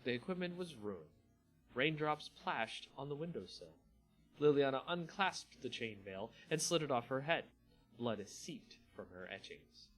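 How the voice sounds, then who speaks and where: A man reading a fantasy story aloud as a theatrical, deliberate dramatic narrator. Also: medium pitch, sing-song, a bit bright, faint music behind, low-quality recording.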